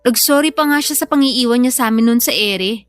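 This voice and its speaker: Woman acting a hesitant young single mother, high-voiced. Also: a little fast, natural voice. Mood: troubled. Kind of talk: audio drama dialogue.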